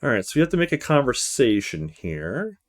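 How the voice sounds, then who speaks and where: A man's voice: mid-range voice, big pitch swings, a little fast. A man hosting a let's play, reading a visual novel aloud with casual asides.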